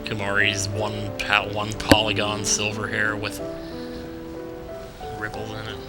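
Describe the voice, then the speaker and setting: A young American man with a fairly low voice hosts a casual let's play. He rambles along with wry asides. Game music plays clearly behind him. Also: completely flat delivery, measured pace. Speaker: gaming video host. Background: video game music.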